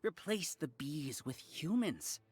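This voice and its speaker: A smug scheming scholar villain. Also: male voice, a little high, big pitch swings, slightly rough, dry recording, heavily acted. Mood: cold smug. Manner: measured, lecturing, coldly polite. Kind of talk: game voice acting.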